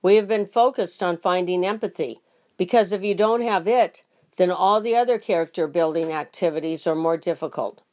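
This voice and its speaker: A woman, a teacher, reading aloud to children in an expressive storybook style. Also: lowish voice, normal speed.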